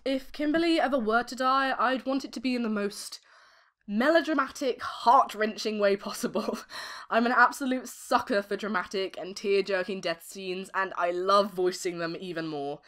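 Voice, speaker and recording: A young woman giving articulate, expressive interview answers as an amateur voice actress. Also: mid-range voice, moderate pace. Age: young adult.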